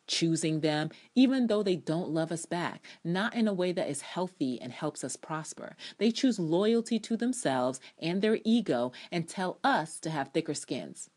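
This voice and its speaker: Low-voiced woman reading opinion essay. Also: a little mellow. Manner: emphatic, opinionated, acts out quotations. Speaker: TV show reviewer.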